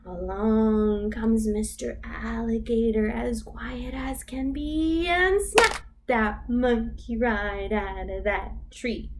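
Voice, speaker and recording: A woman leading a storytime for babies as a children's librarian, reading in an exaggerated sing-song way with gasps and hushed whispers. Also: a little high, steady tone, takes it slowly, background noise.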